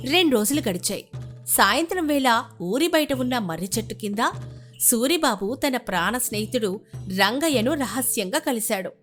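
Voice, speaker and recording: A woman giving scripted narration of a moral story, as the storyteller between scenes of dialogue. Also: fairly high pitch. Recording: music behind the narration.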